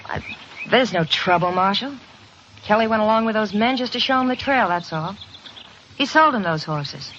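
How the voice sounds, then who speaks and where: Brash, animated frontier wife, played by a woman. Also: mid-range voice, moderate pace, lightly acted. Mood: wary, evasive. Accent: American. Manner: brash, folksy, evasive. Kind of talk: old-time radio western acting. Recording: dull old radio recording, faint noise.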